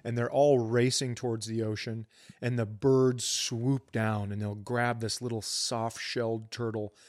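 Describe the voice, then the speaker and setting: A middle-aged man with a medium-pitched voice in casual comedic chat. He sounds conversational, chipping in and telling anecdotes with loose, sweary phrasing. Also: little pitch movement, unhurried, darkish tone, dry recording, studio quality. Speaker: comedy podcast co-host.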